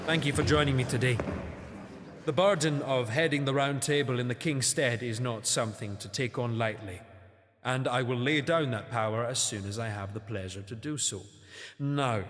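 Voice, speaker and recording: An actor playing a scheming young knight who takes the crown, measured and coldly formal in a falsely humble way. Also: male voice, mid-range voice, fairly bright tone, long reverb tail, lightly acted. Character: scheming young male knight seizing the throne. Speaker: Mordred. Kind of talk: audio drama acting.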